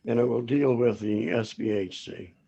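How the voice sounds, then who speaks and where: A hoarse man chairing a town board meeting, speaking haltingly with many pauses and restarts. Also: medium pitch, steady tone, warm tone, muffled recording.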